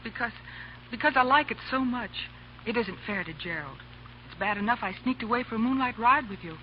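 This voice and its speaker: A woman's voice: fairly high pitch, expressive, a little fast, close to an everyday voice. A woman acting an anxious young society fiancée in a 1930s radio play, genteel and pleading in manner. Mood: pleading, torn. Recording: dull, faintly noisy old radio recording.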